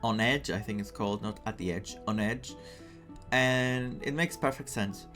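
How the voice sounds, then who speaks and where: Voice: medium pitch, moderate pace, music in the background. A streamer giving casual, reflective and emotive commentary during a story game playthrough, sometimes reading dialogue aloud.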